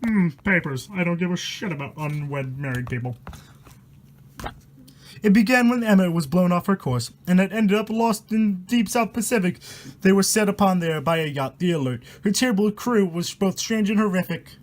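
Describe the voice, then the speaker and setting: A man's voice: high voice, lively intonation, moderate pace. A man reading a rhyming horror story aloud in a dramatic, irreverent way, stumbling over words and swearing in casual asides. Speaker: amateur storyteller and host.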